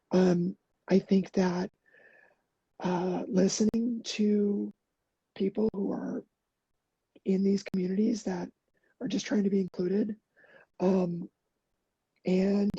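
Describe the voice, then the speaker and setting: A middle-aged woman speaks earnestly in a relaxed interview, halting with fillers and restarts. Her voice is very dark and husky with gravelly fry and a slight hoarseness. The sound is dry and a little muffled. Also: medium pitch, no echo. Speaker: podcast guest, endurance athlete. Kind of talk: casual interview talk.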